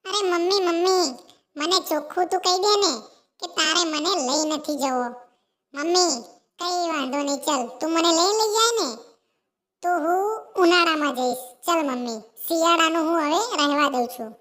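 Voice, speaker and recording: Extremely high-pitched pleading sparrow chick. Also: normal speed, fairly bright tone. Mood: sulky, resigned. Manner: pleading, insistent, repeats itself. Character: eager, pleading young sparrow chick, caring child. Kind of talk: animated children's story dialogue.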